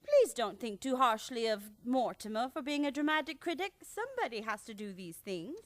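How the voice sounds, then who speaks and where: An actress playing a sweet, genteel, unflappable elderly aunt in an old-time radio comedy, fond and matter-of-fact. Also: female voice, fairly high pitch, sing-song, normal speed. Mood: sweet, fussing.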